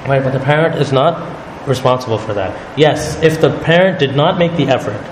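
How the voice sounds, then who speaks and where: A man leading a religious study circle, explaining calmly and often checking in with "right?". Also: medium pitch, a little fast. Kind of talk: religious talk to a group. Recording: echoey hall with noise.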